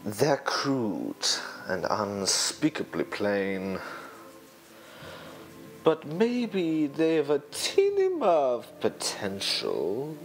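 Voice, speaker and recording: A man's voice: medium pitch, wildly swooping pitch, full character voice. A man playing a haughty, scheming lion usurper in a theatrical musical song cover, sneering and drawing out his words. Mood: sly, contemptuous. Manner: pompous, sneering, drawn-out theatrical delivery. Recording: amateur song cover over backing music.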